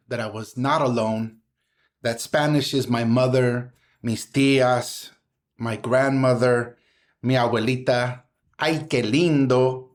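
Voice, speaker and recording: A middle-aged man from Southern California, a poet reciting his work with a rhythmic spoken-word cadence, then talking casually in an interview. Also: medium pitch. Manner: rhythmic spoken-word delivery, mixing in Spanish words. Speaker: poet and guest.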